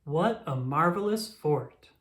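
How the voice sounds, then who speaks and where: A woman reading a children's storybook aloud with expressive, animated storytelling and emphatic exclamations. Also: deep voice, takes it slowly. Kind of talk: scripted read-aloud narration for young children. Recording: roomy, echoing sound.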